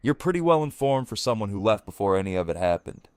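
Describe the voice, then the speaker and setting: A man's voice: fairly low pitch, big pitch swings, fast, clean tone. A man playing a serious, weary camp leader in an audio drama. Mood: grave. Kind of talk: fan audio drama acting.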